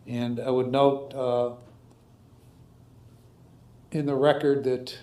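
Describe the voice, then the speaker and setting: A man with an American accent speaking as a judge, questioning and admonishing in a halting, stern way. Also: medium pitch, flat delivery, darkish tone. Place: indoor courtroom. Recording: echoey room sound.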